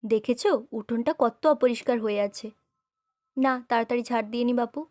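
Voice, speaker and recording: A caring elder sister doll from a children's doll story, voiced by a woman. Her voice is high, and she talks in a homely, chatty way, gently giving instructions as she runs the household. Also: fairly even intonation, fairly quick, lightly acted. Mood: brisk, homely. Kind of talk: doll-play storytelling dialogue.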